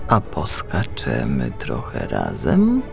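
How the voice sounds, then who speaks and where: Man voicing a slow, fussy whale dad. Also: a bass voice, huge pitch swings, creaky, faint music behind, pitched below the performer's own voice, full character voice. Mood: earnest, checking. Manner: slow, deliberate questioning. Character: fussy, orderly humpback whale father, gently quizzing.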